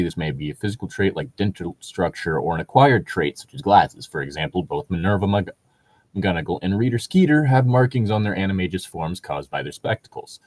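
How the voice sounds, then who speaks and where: A man's voice: low voice, darkish tone, no echo. A man reading aloud and commenting casually, in an informal, sweary way as he reacts to the text. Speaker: co-host of a fan fiction reading show.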